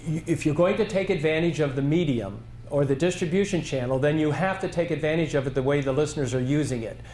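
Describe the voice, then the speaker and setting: A man presenting at a conference, answering audience questions in a measured way, thinking aloud with restarts. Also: on the high side, a little mellow, roomy sound, slight background noise. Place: conference hall.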